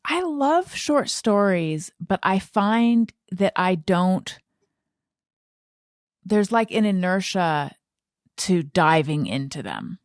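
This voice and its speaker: A woman's voice: lowish voice, big pitch swings, takes it slowly, no room sound, clean studio sound. A woman hosting a casual interview, informal and thinking aloud as she rephrases her questions. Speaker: podcast host interviewing a guest. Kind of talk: casual chat.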